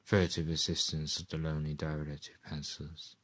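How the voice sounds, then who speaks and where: A man playing a lonely, haunted survivor in a radio play, narrating his notes in a quiet, brooding, literary way. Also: extremely low pitch, level tone, brisk, no echo. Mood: bleak brooding.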